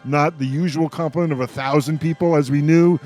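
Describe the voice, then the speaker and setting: A man's voice: fairly high pitch, fairly even intonation, a little fast, a little mellow, faint music behind. A man hosts a casual panel discussion in a wry, mock-exasperated way. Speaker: radio show host.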